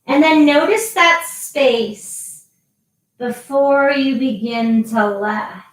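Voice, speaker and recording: Slow, calm woman yoga teacher, echoey. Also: sits high. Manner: slow, calm cueing with long pauses. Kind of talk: guided yoga instruction. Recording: very echoey, voice from a distance.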